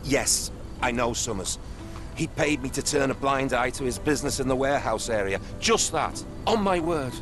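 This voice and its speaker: Crooked game customs officer, male actor: defensive, evasive, mid-range voice, clear music underneath. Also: medium pitch, animated, normal speed, close to an everyday voice. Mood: pleading. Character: shifty, defensive corrupt customs officer at Victorian docks. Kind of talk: video game cutscene acting. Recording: music behind the voice.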